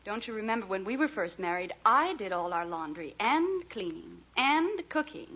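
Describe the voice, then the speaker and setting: Wry radio mother with lively pitch. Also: moderate pace, breathy edge, exaggerated voice. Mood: wry, composed. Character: sensible, wry middle-aged wife and mother. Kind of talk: 1940s radio sitcom acting. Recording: dull, noisy old radio recording.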